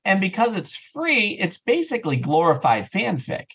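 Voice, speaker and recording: Animated man chatting as a guest. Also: sits high, moderate pace, slightly rough. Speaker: audio drama writer and actor, interview guest. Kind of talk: casual interview chat.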